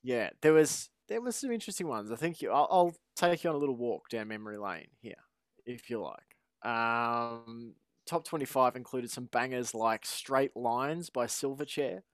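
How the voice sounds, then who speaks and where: A man's voice: medium pitch, moderate pace, no room sound, studio quality. An Australian man playfully hosting a casual quiz among friends, drawing out his announcements. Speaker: podcast quizmaster.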